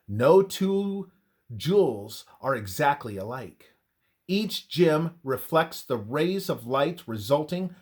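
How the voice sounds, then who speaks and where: A man reading a scripted religious devotional in a measured, deliberate way, with emphatic phrasing and pauses. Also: fairly high pitch. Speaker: reader of a religious devotional text.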